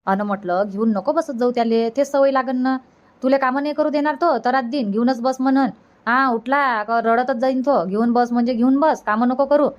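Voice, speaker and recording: A woman's voice: high-pitched, moderate pace, highs cut off, natural voice. A woman voicing a caring, practical village mother in a cartoon comedy, chatty and advising, with a rural Vidarbha Marathi accent. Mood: gently scolding.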